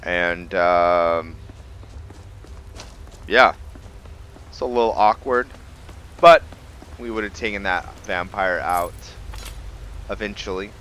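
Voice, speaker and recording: A man giving casual roleplay gameplay commentary as a let's-play host, haltingly thinking aloud as he goes. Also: deep voice, a bit bright. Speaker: video game streamer, let's-play host.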